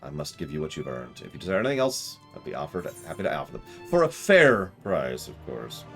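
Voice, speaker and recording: A man's voice: rumbling low, huge pitch swings, brisk, soft background music, exaggerated voice. A man reading a wistful old shopkeeper. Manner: reads game dialogue aloud plainly.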